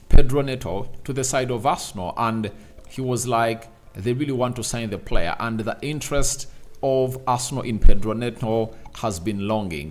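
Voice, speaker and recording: A man hosting a casual football transfer news show, rambling and talking straight to his viewers. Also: mid-range voice. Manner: rambling, repeats "obviously" and "I tell you", talks to viewers directly. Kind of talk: casual solo commentary.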